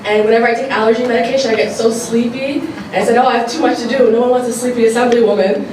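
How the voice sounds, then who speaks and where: A woman's voice: a little high, steady tone, fast. A woman legislator with a stuffy nose, giving casual remarks at a community forum, with many fillers. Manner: informal, filler-heavy, repeats phrases. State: stuffy from allergies. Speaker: assemblywoman. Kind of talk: public forum speech. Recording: echoey hall, distant mic, noisy.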